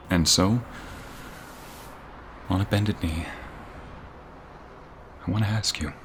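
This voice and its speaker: A man's voice: deep voice, slight background noise. A man playing a devoted, sentimental boyfriend in a romantic ASMR roleplay, speaking intimately and earnestly. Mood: earnest, pleading. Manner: intimate, earnest, often hushed and breathy.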